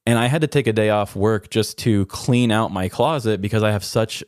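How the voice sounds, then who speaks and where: A man's voice: fairly low pitch, moderate pace, a little mellow, dry recording, clean studio sound. A man hosting a podcast, talking in a candid, reflective and self-deprecating way. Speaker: podcast host. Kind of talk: candid personal conversation.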